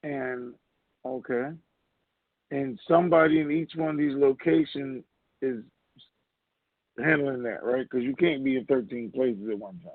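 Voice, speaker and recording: A man's voice: medium pitch, measured pace, pauses often, dry recording. A man hosting an informal question-and-answer conference call over the telephone. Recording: muffled narrowband phone line.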